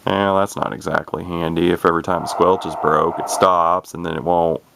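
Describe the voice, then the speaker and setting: A man giving a casual, unscripted radio demo in a folksy, rambling way, thinking out loud as he goes. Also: deep voice, little pitch movement, moderate pace, creaky, background noise. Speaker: hobbyist demonstrating a CB radio.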